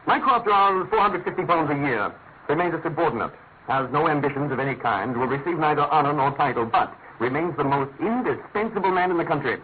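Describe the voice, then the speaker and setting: A man's voice: a little high, animated, fairly quick, close to an everyday voice. Man playing a theatrical detective, swooping and tinny. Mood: amused, expounding. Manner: articulate, declamatory, musing. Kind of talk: classic British radio drama acting. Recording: tinny narrow-band radio recording with hiss.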